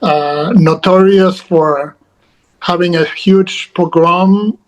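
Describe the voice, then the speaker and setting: Male guest author, slightly high voice, halting delivery with frequent fillers, video call. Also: fairly even intonation, low-quality recording. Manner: halting, many fillers and restarts. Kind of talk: conversational interview.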